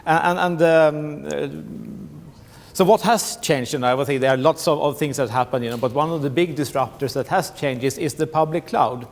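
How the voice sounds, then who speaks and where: A man's voice: a little high, fairly even intonation, normal speed, creaky. Swedish-accented man presenting in a hall. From Sweden. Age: middle-aged. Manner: many restarts and repeated words, frequent "you know". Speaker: conference presenter. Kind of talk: conference presentation. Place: large conference hall. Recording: long hall reverb.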